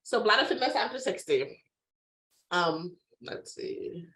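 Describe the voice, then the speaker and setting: A woman physician giving an introductory health webinar over a video call, her voice hoarse from a sore throat, conversational with frequent fillers and throat clearing. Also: medium pitch, very animated, slow, bright, forceful tone. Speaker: physician presenter. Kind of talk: webinar lecture.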